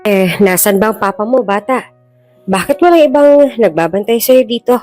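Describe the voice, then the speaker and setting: A woman's voice: medium pitch, lively intonation, normal speed, a little mellow, voice pushed up, natural voice. A woman with a Filipino accent voicing an inquisitive, pitying hospital nurse in a story, chatty and questioning. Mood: curious. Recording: music bed behind the voice.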